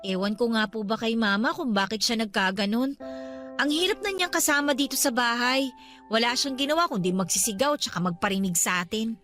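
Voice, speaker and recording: An actress playing a devoted young adult Filipino daughter, gentle, respectful and reassuring, in a radio drama. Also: female voice, on the high side, a little fast, faint music behind, close to an everyday voice. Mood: resigned. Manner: caring, respectful. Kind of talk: Tagalog radio drama acting.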